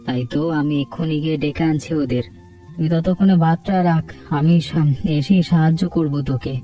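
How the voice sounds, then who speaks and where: Mellow, highish-voiced village man played by an actor. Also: normal speed, faint music behind, close to an everyday voice. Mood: teasing, reassuring. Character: easygoing village man, teasing older brother, cartoon. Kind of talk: Bengali cartoon story dialogue.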